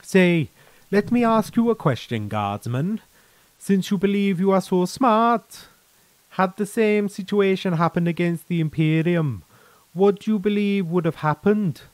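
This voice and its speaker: A man's voice: high-pitched, animated, measured pace, no room sound, voice pushed up. A man voicing a chatty, frank, easygoing veteran soldier in a sci-fi story, with colloquial, mildly profane, persuasive talk. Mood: probing.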